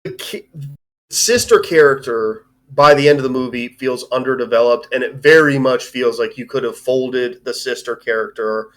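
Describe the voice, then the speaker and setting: A man's voice: mid-range voice, normal speed, fairly bright tone. A man hosting a live-streamed film discussion in an informal, rambling way with some swearing. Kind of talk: casual chat, film review.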